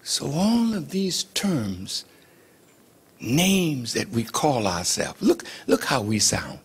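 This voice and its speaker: An older man preaching a sermon to a church congregation, building to shouts and mimicking voices to mock division. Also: medium pitch, huge pitch swings, slow pace, husky. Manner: preaching with rising shouts and acted mocking voices.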